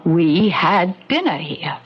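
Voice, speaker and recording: Skeptical sister in a dull vintage radio mystery. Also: female voice, on the low side, sing-song, slow pace, breathy edge, heavily acted. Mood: pointed, controlled. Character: skeptical, pointedly patient sister, politely condescending. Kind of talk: old-time radio mystery acting.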